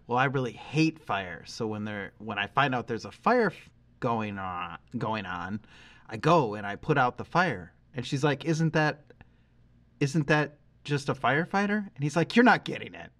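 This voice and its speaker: A man's voice: mid-range voice, expressive, moderate pace, fairly bright tone. A man in his forties chatting casually as the co-host of a podcast. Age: 40s.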